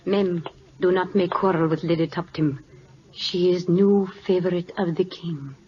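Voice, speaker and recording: Woman playing a slow, humble royal wife. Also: fairly low pitch, fairly even intonation, lightly acted. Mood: gentle, pleading. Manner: halting, simple broken English. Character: humble, sorrowful royal wife, devoted mother. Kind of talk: 1940s American radio acting. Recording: muffled old-time radio recording.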